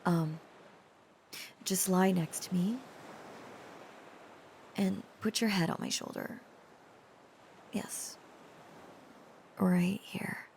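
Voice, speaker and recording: Woman voicing a devoted dragon-girl lover, unhurried, creaky voice, earnest, halting, intimate romance roleplay, faint background noise. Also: mid-range voice, darkish tone. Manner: halting, earnest, breathy whispering at tender moments. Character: tender, protective dragon-blooded girlfriend confessing devoted love. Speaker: voice actor.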